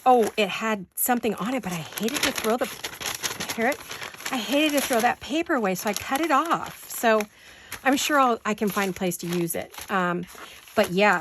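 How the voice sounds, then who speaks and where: A woman's voice: a little high, noisy background. A woman hosting a casual craft-along, rambling and thinking aloud with folksy, playful exclamations. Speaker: craft vlog host. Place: home craft studio.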